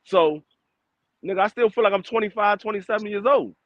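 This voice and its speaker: A man talks on a podcast with a noticeably high voice. He jokes and makes his points with emphasis, laughing often. The sound is dry and close, with dull, muffled audio. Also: a little fast, fairly bright tone, no echo. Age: 40s. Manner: joking, emphatic, laughs a lot. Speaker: podcast co-host. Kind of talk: casual chat.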